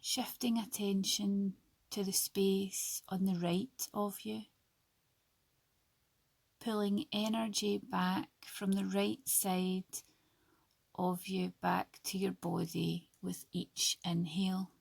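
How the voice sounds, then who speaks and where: Slow, breathy woman guiding sleep meditation. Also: mid-range voice, level tone, dry recording. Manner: soothing, hushed, with long pauses between short phrases. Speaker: yoga nidra guide.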